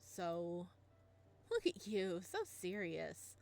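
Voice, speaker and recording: A woman voicing a cheerful, teasing woman friend in a visual novel, brisk and matter-of-fact. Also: fairly low pitch, very animated, gravelly, a little mellow, exaggerated voice. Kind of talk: reading a visual novel aloud.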